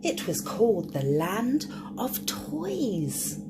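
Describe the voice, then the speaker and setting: A woman's voice: medium pitch, big pitch swings, extremely slow, very noisy. A woman reading a picture book aloud expressively for young children, drawing out key words and speaking to the listeners. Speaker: children's storyteller.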